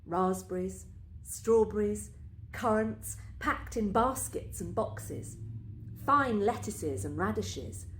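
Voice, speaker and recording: A woman reading a first-person literary story aloud in a measured, deliberate storytelling manner. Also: mid-range voice, a little hiss or hum. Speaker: story reader. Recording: roomy, echoing space.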